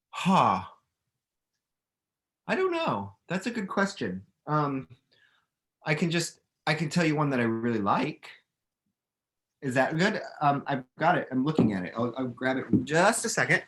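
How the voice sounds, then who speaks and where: A middle-aged man with a mid-range voice speaks as an author taking questions. He explains things informally, thinking out loud and circling back to restart his phrases as he goes. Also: medium pitch, very animated, a little slow, long pauses. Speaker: author and illustrator answering questions. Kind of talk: casual chat, explaining to students.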